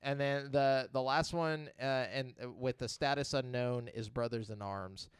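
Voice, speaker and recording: A man's voice: medium pitch, steady tone, measured pace, smooth, no room sound. Casual man podcast host, dry close sound. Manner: slangy, swearing. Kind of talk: casual chat.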